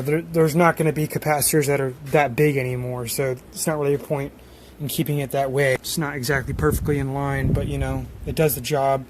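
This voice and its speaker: A man giving casual hands-on repair commentary in an informal, self-deprecating way. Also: on the high side. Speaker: hobbyist electronics repairer. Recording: clear steady background noise.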